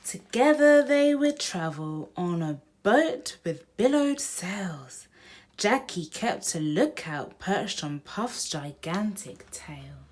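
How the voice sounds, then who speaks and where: A woman's voice: medium pitch, expressive, slow pace, darkish tone. A woman hosting a children's picture book read-aloud, speaking directly to young listeners.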